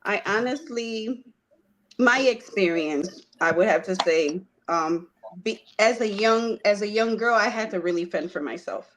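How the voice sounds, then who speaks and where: A woman from Puerto Rico telling her life story in a conversation, earnest and hesitant, with frequent pauses. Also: medium pitch, a little hiss or hum, low-quality recording. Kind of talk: personal testimony in conversation.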